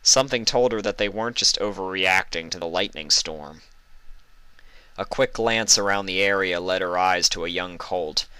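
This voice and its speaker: A man with a deep voice narrates a story dramatically. His tone is bright and crisp, and the sound is dry and close, with no room on it. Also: no room sound. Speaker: fan fiction reader, narrator.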